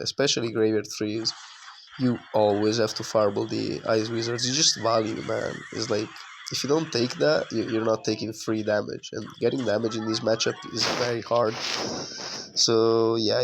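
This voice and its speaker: A young man with a hoarse voice from being sick, giving casual, relaxed commentary over his own gameplay with lots of fillers. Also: fairly low pitch, a little hiss or hum, poor audio. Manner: relaxed, conversational with frequent fillers.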